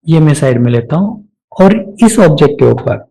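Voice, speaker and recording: A man with an Indian accent narrating a step-by-step software tutorial, explaining each action aloud as an instructor. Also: fairly high pitch, very animated, moderate pace, gravelly, breathy edge, low-quality recording.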